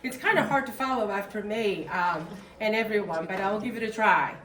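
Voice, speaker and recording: A woman's voice: medium pitch, brisk, a bit bright. Middle-aged Korean-accented woman, guest speaker, earnest and plain-spoken, heard from a distance in a roomy hall. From South Korea. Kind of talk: speech at a club meeting. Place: meeting room. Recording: echoey room, distant mic, faint noise.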